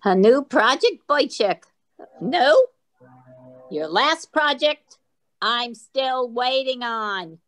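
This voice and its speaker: A skeptical, grumbling relative played by a woman complains in a high voice. She delivers her exasperated comedy lines slowly, with open sarcasm. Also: expressive, a bit bright. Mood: exasperated, sarcastic. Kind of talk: reader's theatre comedy.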